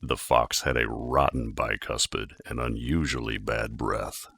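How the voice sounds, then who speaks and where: A man reading a children's storybook as an audiobook narrator, with measured, expressive delivery. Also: very deep voice, vocal fry, husky.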